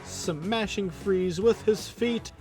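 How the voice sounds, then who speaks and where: A man narrates an audio drama at a measured, slow pace with music underneath. He is theatrical and announcer-like, and drops into offhand comic asides. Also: high-pitched, a little mellow.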